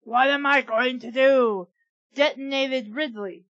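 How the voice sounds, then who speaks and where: A woman hosting a comedy reading of fan fiction, alternating deadpan narration with sarcastic asides and giggles. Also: high voice, slow pace, no echo. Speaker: reader and podcast host. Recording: dull lo-fi sound.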